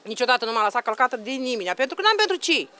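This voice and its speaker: A woman's voice: on the high side, very animated, pushed and bright. A woman in her fifties, a market trader, making a heated, emphatic complaint full of rhetorical questions in a street interview. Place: clothing stall at open market. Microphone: handheld reporter microphone.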